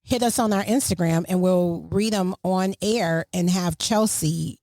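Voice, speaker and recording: A woman's voice: lowish voice, a little slow, a little mellow, dry recording, studio quality. A middle-aged woman hosting a podcast, talking casually and motherly, giving advice to parents. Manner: chatty, motherly, preachy asides to parents. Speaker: podcast host. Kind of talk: casual chat.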